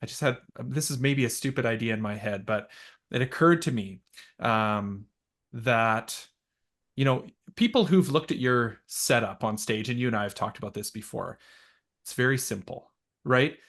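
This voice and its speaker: A man's voice: mid-range voice, moderate pace, a bit bright. A man hosting a podcast interview, talking in a casual, eager way and often checking in with "right?". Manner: eager, affirming, frequent "right?" and "yep". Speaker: podcast host.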